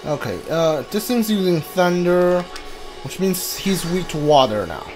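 A man's voice: high-pitched, expressive, unhurried, a little mellow, soft background music. A man doing live gameplay commentary as a streamer, thinking aloud as he plays. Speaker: game streamer.

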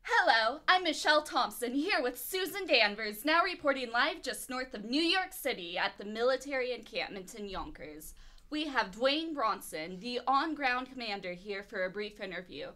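A woman's voice: a little high, lively intonation, normal speed, sharp tone. A woman playing a polished, upbeat field news reporter in a radio drama, with presentational delivery. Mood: businesslike.

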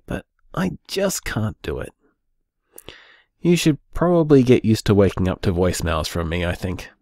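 A devoted, reassuring boyfriend in an audio drama. He speaks gently and sincerely in a dry, close recording. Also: fairly low pitch, no room sound.

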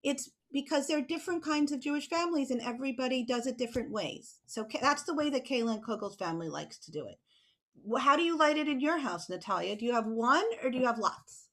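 American woman, middle-aged, high voice, encouraging, casual explaining to children, video call. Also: animated, fast talker. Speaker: children's author and illustrator as guest. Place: small home room with bookshelf, indoors. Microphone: computer mic on video call.

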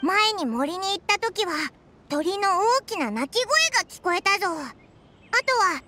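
Casting: tiny childlike game fairy, very high bright voice, blunt and inquisitive. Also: female voice, big pitch swings, measured pace, slight background noise, full character voice. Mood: curious. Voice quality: squeaky, childlike. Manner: boyish blunt speech, exclaiming questions. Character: small childlike floating fairy companion, curious and blunt. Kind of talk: Japanese anime game voice acting.

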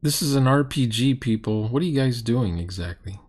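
A man's voice: medium pitch, expressive, normal speed, darkish tone, slight background noise. A middle-aged man giving blunt, sarcastic, exasperated commentary in reaction to a roleplaying game. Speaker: critic reacting to a tabletop roleplaying video.

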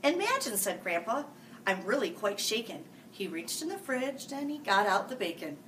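A woman in her forties reading a picture book aloud to children in a sing-song, animated way, jumping to loud, high character lines. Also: normal speed. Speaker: school staff reading buddy. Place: small room, seated before a green-screen farm backdrop. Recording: distant mic with faint hiss.

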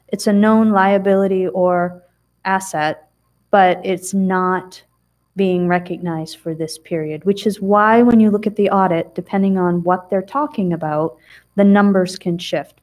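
A woman's voice: mid-range voice, darkish tone. Woman, middle-aged, explaining budget numbers, casual asides, um-filled, council meeting room. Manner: plain explaining, chatty asides, frequent "um" and restarts. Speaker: town finance officer presenting audit. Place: council chamber, seated at table.

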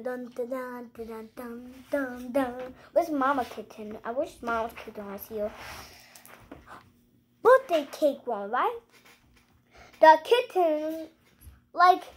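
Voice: sits high, big pitch swings, slow, pauses often. A young child hosting a read-aloud, reading a picture book with playful asides and exclamations. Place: living room at home, indoors.

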